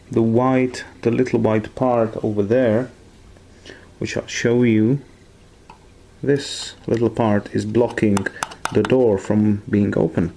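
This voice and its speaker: A man giving a careful, step-by-step hands-on repair tutorial in halting phrases. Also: mid-range voice, gravelly, mellow.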